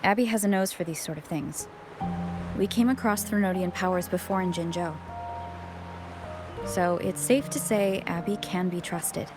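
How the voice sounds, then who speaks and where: A woman playing a calm, level-headed young adventurer in a video game, speaking in a matter-of-fact, reassuring way. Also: fairly low pitch, normal speed, long pauses, natural voice. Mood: calm, reasoning. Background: music.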